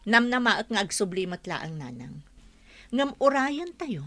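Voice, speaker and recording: A woman's voice: medium pitch, sing-song, measured pace, fairly bright tone, soft background music. A woman acting as a wary young wife in an audio drama, asking pointed, quizzing questions.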